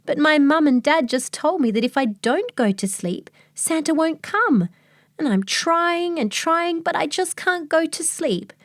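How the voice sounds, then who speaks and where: A woman voicing a sad, excited little boy who can't sleep, sniffling as he talks. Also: sits high, unhurried, dry recording, clean studio sound, voice pushed up. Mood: tearful.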